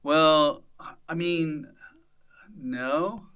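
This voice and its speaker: A man's voice: on the high side, very slow, a bit bright, clear, resonant tone. A man hosting a podcast in comedic casual chat, playful and joking, given to exaggerated outbursts. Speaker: podcast host.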